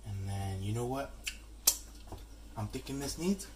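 A man's voice: mid-range voice, expressive, a little slow, heavy background noise. Upbeat joking man cooking at home. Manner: upbeat, joking, self-deprecating. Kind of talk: casual cooking chat. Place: home kitchen, indoors. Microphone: camera mic, handheld and tripod. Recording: home kitchen with sizzling in the background. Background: sizzling pan and kitchen sounds.

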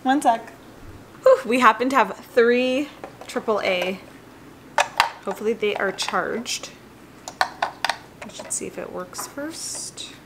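A bubbly woman in her thirties vlogs her day at home, holding the camera close. Her voice sits a touch high and she laughs often as she chats. A faint hiss of noise sits under the sound. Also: slow, pauses often. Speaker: vlogger, new mom. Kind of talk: casual vlog chat. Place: large bright home kitchen and bedroom. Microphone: camera held at arm's length.

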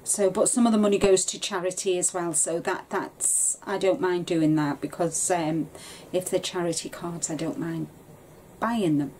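A woman's voice: lowish voice, fairly even intonation, normal speed, darkish tone, slight background noise, low-quality recording. A woman, chatting casually to a desk webcam in her craft room at home, rambling with plenty of ums. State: bunged up with a cold, coughing. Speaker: craft vlogger. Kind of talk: casual chat to camera.

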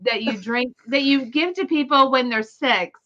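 A woman's voice: sits high, moderate pace, sharp tone, highs cut off. A woman playing as a guest contestant on a video call, giggly and chatty. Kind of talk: casual chat.

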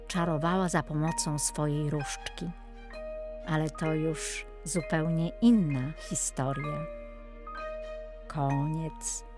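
A woman reading a scripted children's story in an expressive, playful storytelling manner. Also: sits low, slow, music in the background. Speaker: storyteller narrator.

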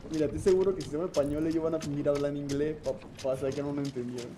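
A chatty man who keeps laughing and speculating as he games with friends. His voice sits a bit high for a man. A faint hiss runs under his talk. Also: flat delivery, racing. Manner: talkative, laughs often, speculates aloud. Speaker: gamer playing with friends. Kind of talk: casual gaming chat.

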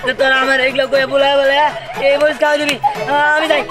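High-voiced aggrieved lad, acted by a man. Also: flat delivery, fast talker. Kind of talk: Bengali comedy short drama. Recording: film audio under loud music, crowd.

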